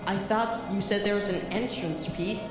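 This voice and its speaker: A woman's voice: mid-range voice, steady tone, moderate pace, natural voice. Female performer as earnest young gang leader in echo. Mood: urgent. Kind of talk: audio drama acting. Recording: echoey reverb, faint music behind.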